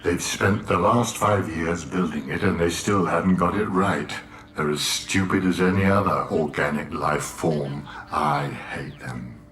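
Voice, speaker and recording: A very low-voiced, miserable robot from a radio drama. It drones in a sardonic deadpan, superior and full of contempt for everyone around it. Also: male voice, measured pace. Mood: scornful gloom. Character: gloomy, contemptuous, depressed robot with a superior intellect. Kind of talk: radio comedy drama acting.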